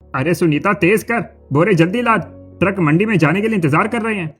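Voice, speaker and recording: A man voicing a gruff, hard-bargaining farm owner in an animated story, bossy and brusque. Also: high voice, fairly quick, soft background music. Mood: impatient.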